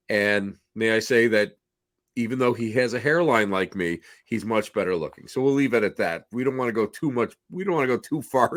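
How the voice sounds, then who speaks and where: Middle aged guy hosting a paranormal show, bit hoarse, rambly n folksy, mid pitch. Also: dry recording, studio quality. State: slightly hoarse. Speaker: host of a paranormal talk show. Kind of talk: casual rambling show introduction.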